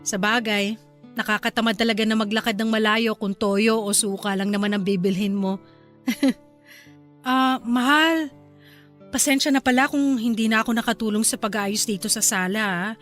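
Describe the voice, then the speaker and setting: A woman playing a sweet, high-voiced young wife. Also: moderate pace, fairly bright tone, soft background music, close to an everyday voice. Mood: tender. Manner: sweet, affectionate and homely. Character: caring young wife and new mother. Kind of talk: radio drama acting.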